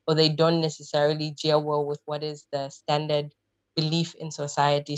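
A woman in her thirties from Zambia speaks as a podcast guest. Her voice is low and level, and she explains things thoughtfully with plenty of fillers. The recording is dry, with faint music underneath. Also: no echo. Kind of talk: conversational interview answers.

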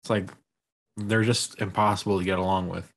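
A man's voice: low voice, little pitch movement, fairly quick, gravelly, fairly bright tone. A man in a casual conversation, easygoing and laid-back as he tells a story. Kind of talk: casual chat.

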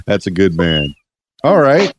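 Podcast host, a man from Miami; lowish voice that swings up and down, rambling and playful, dry close sound. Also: huge pitch swings, unhurried, dry recording, highs cut off. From Miami, USA. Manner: rambling, stammering, playful questions. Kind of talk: casual interview.

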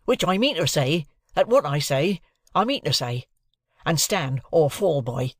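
A man's voice: sits high, expressive, moderate pace, no room sound, voice pushed up. A man voicing a big, tender-hearted village blacksmith in an audiobook, rambling and repetitive in rustic turns of phrase, easily roused to indignation. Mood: fighting indignation. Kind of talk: audiobook character dialogue.

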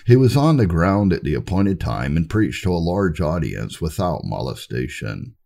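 Very deep, gravelly man reading history aloud. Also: sing-song, normal speed, mellow. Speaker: narrator reading church history. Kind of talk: scripted audiobook narration.

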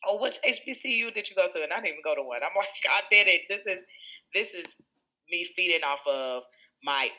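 A woman with a Chicago accent tells stories in an interview, her voice bright and forceful. She talks in an animated way, laughs often and restarts her sentences. The sound is a little dull and lo-fi. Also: on the low side, brisk. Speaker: school executive director. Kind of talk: conversational interview storytelling.

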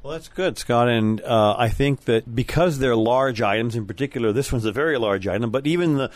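A man's voice: medium pitch, brisk, no echo. A middle-aged or older man hosting a conversational interview in a polite, appreciative manner. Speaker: radio show host and interviewer.

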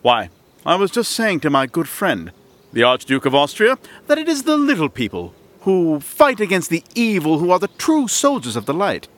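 A man's voice: a little high, wildly swooping pitch, moderate pace, fairly bright tone. An actor playing a vain, condescending celebrity witch hunter in a comic audio drama, with a pompous, grand manner. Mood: smugly condescending. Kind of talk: audio theater comedy acting.

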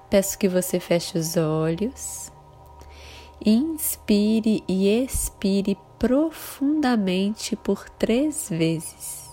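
A Brazilian woman leading a guided meditation in a calm, soothing, measured way with long pauses between phrases. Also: medium pitch. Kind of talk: slow guided meditation narration. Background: soft sustained meditation music.

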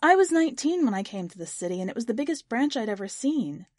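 A woman's voice: on the high side, big pitch swings, quick pace, darkish tone, dry recording, clean studio sound. A woman reading the part of a hesitant, inventive young woman in an audiobook story, improvising earnest answers.

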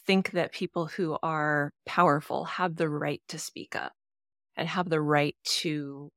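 A woman co-hosting a podcast, talking through a book in a relaxed, thoughtful way with fillers, her voice a little lower than most women's. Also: a little slow, dry recording, studio quality. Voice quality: fairly low voice for a woman. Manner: thoughtful, agreeable, many fillers. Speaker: podcast co-host. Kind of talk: casual book discussion.

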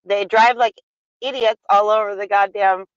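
A woman's voice: medium pitch, fairly even intonation, normal speed, clean tone, no echo, muffled recording. A woman chatting casually with a friend, teasing, laughing a lot and cracking crude jokes, at times putting on a folksy Midwestern accent. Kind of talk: casual chat.

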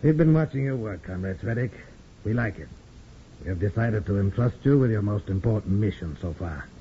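An actor playing a menacing communist spymaster in a 1950s radio drama, formal, measured and imperious. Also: male voice, on the low side, lively intonation, lightly acted. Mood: commanding. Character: smooth, menacing, commanding male communist spymaster. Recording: muffled, faintly noisy 1950s radio recording.

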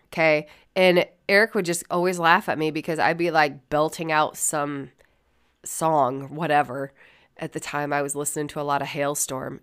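A woman talks in a low voice, scratchy and a little sniffly from a head cold. She rambles candidly through a casual solo podcast and swears freely. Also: fairly bright tone, clear, resonant tone. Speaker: podcast host.

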